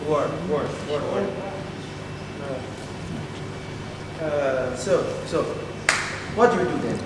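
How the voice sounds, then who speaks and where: An actor with a Nigerian accent playing an earnest, indignant young student comrade on stage, with emphatic, repetitive phrasing. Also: male voice, fairly high pitch, drawn-out words. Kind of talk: projected stage play acting. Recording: echoey hall stage play, distant mic, noisy.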